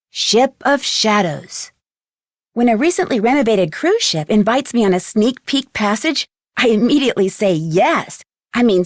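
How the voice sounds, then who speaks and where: A curious young female sleuth speaks in a high, articulate voice, close and dry like a studio game recording. She sounds plucky and inquisitive, as if reasoning through a mystery aloud. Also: no echo. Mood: intrigued, storytelling. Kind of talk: scripted video game voice acting.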